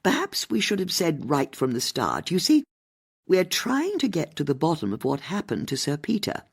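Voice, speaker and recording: A woman's voice: deep voice, wildly swooping pitch, normal speed, vocal fry, husky, voice pushed up, exaggerated voice. A woman voicing a shrewd, chatty older English amateur sleuth, politely probing while sounding casual, in an audiobook mystery.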